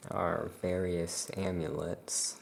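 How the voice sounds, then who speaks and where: A soft-spoken ASMR performer playing a shopkeeper, presenting items in an unhurried, explanatory way. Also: deep voice, little pitch movement, vocal fry, slight background noise.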